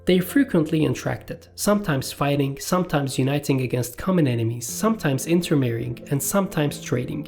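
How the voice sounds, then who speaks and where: Turkish man narrating over soft music. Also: fairly high pitch, lively intonation, gravelly. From Turkey. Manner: measured, list-reading delivery. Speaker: language video host. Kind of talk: scripted educational narration.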